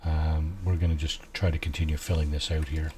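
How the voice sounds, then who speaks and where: A man with a low, soft voice, quietly talking through a video game at an unhurried pace, musing aloud with many fillers. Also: flat delivery, noisy background. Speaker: gameplay streamer. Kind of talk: casual let's-play commentary.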